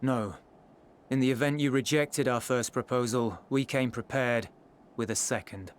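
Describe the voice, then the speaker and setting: An actor voicing a proud, fiercely loyal imperial soldier with formal, declamatory speech in a video game. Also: male voice, mid-range voice, a little hiss or hum, close to an everyday voice. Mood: cold refusal. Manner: declamatory, formal, slow and deliberate. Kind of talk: dramatic video game voice acting.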